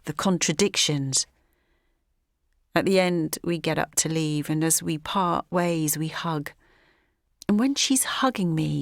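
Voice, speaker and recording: A woman's voice: on the low side, dry recording, studio quality. An actress playing a wistful, reflective woman from small-town Essex, delivering a first-person audio drama monologue. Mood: wistful, detached.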